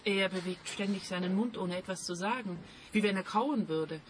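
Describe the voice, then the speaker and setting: A woman's voice: medium pitch, moderate pace, background noise, natural voice. A young woman playing a bored but chatty country-inn waitress in a radio play, speaking in short, laconic sentences. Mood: matter-of-fact. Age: young adult.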